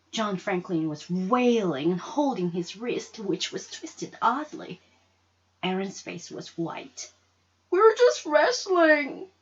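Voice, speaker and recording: A woman reading a story aloud with expressive narration. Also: mid-range voice, husky, lo-fi sound. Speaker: story reader.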